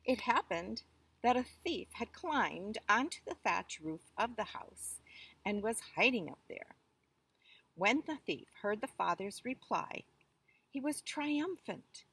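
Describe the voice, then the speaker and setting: A woman reading a children's story aloud with expressive, deliberate phrasing. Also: a little high, vocal fry, slightly rough, dry recording, rough recording.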